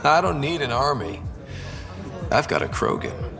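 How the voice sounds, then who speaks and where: Confident young space explorer, slightly gravelly. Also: male voice, fairly high pitch, very animated, unhurried, big-room sound, music in the background, heavily acted. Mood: cocky, confident. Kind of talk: video game dialogue acting.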